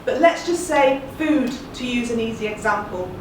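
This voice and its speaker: A woman delivers a measured, earnest spoken-word monologue in a fairly high voice. She sounds distant, in a very echoey room. A faint ambient hum sits underneath. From England.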